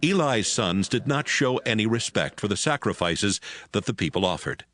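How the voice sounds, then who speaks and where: A man narrating dramatized scripture from a script, telling it as a measured story. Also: lowish voice, animated, a bit bright.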